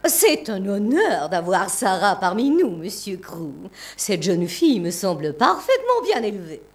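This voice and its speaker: Prim, theatrically swooping cartoon headmistress. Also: female voice, mid-range voice, sing-song, unhurried. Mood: fawning politeness. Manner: prim, haughty, syrupy with rich parents. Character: greedy, strict, two-faced boarding school headmistress. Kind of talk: theatrical French cartoon dub acting.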